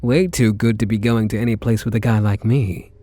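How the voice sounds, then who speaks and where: A man's voice: on the low side, fairly even intonation, dark tone, no echo, natural voice. A man with a British accent playing a smitten kidnapper, a sweet-talking gangster, in an intimate ASMR roleplay. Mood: wistful, flirtatious. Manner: slow, hesitant sweet talk with pet names.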